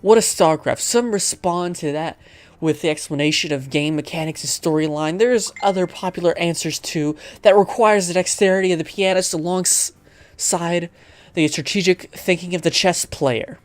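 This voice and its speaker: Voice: medium pitch, moderate pace. A gamer narrating a visual novel aloud, stumbling over the text and breaking into rambling asides. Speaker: let's player reading a visual novel aloud. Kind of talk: gaming commentary and read-aloud narration.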